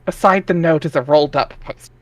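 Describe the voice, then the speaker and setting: A man's voice: a little high, fast talker. A man narrating a comic read-aloud in a casual way, chatting between lines, on a headset mic. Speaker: narrator of a webcomic dramatic reading.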